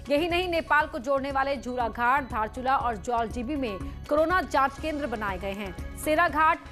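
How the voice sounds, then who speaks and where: A woman's voice: high voice, a bit bright. A woman news presenter reading a scripted bulletin in a formal, emphatic newsreader manner.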